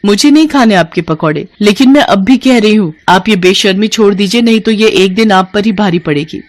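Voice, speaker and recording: A woman's voice: medium pitch, a little fast, no echo, natural voice. A woman voicing an honest, disapproving young wife who admonishes her husband in a cartoon moral story. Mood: disapproving, warning.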